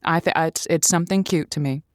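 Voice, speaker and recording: A woman's voice: sits low, a little fast, no room sound, studio quality, natural voice. A woman playing a waffling, people-pleasing radio advice host who keeps hesitating and restarting her sentences. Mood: flustered. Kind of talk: improvised audio drama.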